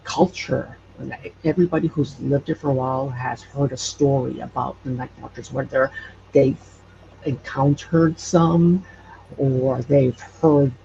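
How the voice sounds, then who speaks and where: A man from Hawaii speaking as an interview guest, explaining local legends haltingly as he searches for words. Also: medium pitch, fairly even intonation, creaky, warm tone. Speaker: podcast guest. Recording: dull, noisy audio.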